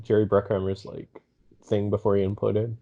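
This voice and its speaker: A man with a lowish, dark voice and plenty of vocal fry chats casually on a podcast. He is understated and a little hesitant. The recording is dull and muffled. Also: flat delivery, moderate pace. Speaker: podcast panelist. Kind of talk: casual chat.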